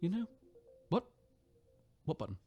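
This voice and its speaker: A bumbling, animated starship captain. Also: male voice, a little high, unhurried, highs cut off, faint music behind. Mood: anxious, unguarded. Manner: hesitant, awkward, faltering officialdom. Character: bumbling, insecure male starship captain feigning authority. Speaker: ship captain. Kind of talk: sci-fi radio drama address.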